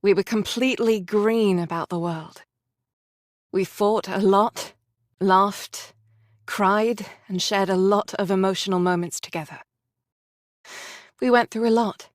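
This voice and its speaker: An actress voicing a gentle, sorrowful young woman soldier in a video game, speaking tenderly and earnestly. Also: mid-range voice, a little slow, no echo, clean studio sound, natural voice. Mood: solemn, tender. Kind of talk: dramatic game voice acting.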